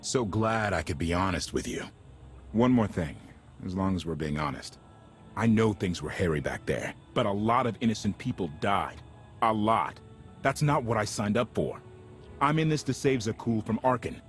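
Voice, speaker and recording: A man's voice: on the low side, lively intonation, moderate pace, natural voice. A man playing an earnest, idealistic deserter pilot in a video game, with natural conversational delivery. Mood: grave, reproachful. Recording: faint music behind the voice.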